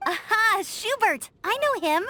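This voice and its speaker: A woman's voice: very high-pitched, expressive, unhurried, pushed and bright, faint music behind, full character voice. A woman playing a perky, encouraging young female scout in a fantasy game, upbeat, friendly and eager to help. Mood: amused, knowing. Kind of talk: English-dubbed fantasy game acting.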